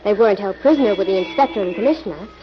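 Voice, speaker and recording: A woman's voice: mid-range voice, animated, rapid-fire, soft background music, natural voice. An actress playing the hero's curious, admiring female assistant in 1940s radio drama style. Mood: bright, inquisitive. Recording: muffled old radio broadcast recording.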